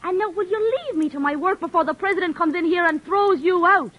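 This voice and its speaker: A tart, sharp-tongued young woman. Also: extremely high pitch, dull audio. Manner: brisk, tart, teasing. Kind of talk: 1940s radio drama acting.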